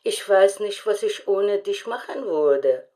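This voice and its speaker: An actress playing an earnest, grateful caller leaving a measured voicemail message in an audio drama. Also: female voice, mid-range voice, animated, warm tone. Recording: distant, muffled voicemail playback.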